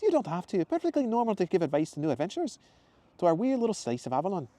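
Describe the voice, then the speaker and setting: A man voicing a bubbly, eccentric woman shopkeeper in her thirties, loud and gushing. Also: high voice, huge pitch swings, racing, no echo, pitched above the performer's own voice.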